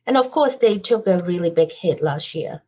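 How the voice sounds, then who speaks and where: A woman's voice: lowish voice, expressive, normal speed, mellow, highs cut off. A woman guest on a podcast interview, talking animatedly about her design business with frequent fillers and light laughs. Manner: laughs lightly as she talks.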